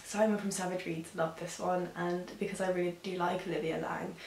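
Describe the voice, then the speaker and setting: A young woman, hoarse from a sore throat, chatting casually about books with frequent ums. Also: fairly low pitch, flat delivery, brisk, a little mellow. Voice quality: husky. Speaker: book reviewer. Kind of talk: casual chat to camera. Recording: roomy echo with faint hiss.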